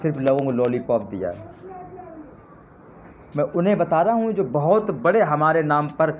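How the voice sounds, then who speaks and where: A man's voice: fairly high pitch, expressive, moderate pace. A man giving an impassioned live address to his supporters, with fervent, repetitive appeals that rise to indignant jabs. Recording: tinny phone-line sound with faint noise.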